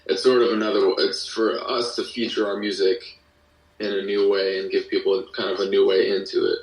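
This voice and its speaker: Low-voiced young American man, echoey room. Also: level tone, fairly quick. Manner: rambling, self-interrupting explanations. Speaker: podcast guest, musician and audio drama creator. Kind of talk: casual interview chat. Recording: roomy echo, distant from the mic.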